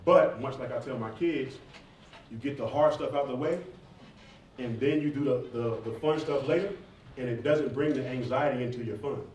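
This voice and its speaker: A man's voice: mid-range voice, normal speed, a little mellow. A man in his thirties, a rapper speaking informally and emphatically to students, repeating phrases as he answers questions. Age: 30s. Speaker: rapper and stage performer answering audience questions. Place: roomy indoor campus event space. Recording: echoey room, distant mic, faint noise.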